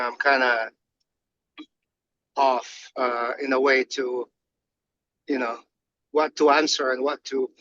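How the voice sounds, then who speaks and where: Man, guest on a call from a car, bright edgy tone, halting delivery, muffled lo-fi line. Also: medium pitch, no echo. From Philippines. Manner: halting, many fillers and restarts. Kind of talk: casual chat. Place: in a moving car. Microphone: phone call. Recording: dull lo-fi call audio.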